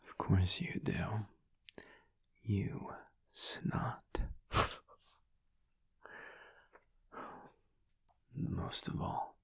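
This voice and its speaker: Man playing a slow, husky whispering werewolf husband. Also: dry recording. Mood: teasing, tender. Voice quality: breathy, husky, low gravelly whisper. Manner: slow, murmured, playful delivery with long pauses. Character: teasing, affectionate alpha werewolf husband, adult man. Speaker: audio roleplay voice actor. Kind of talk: intimate whispered audio roleplay. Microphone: close mic, dry.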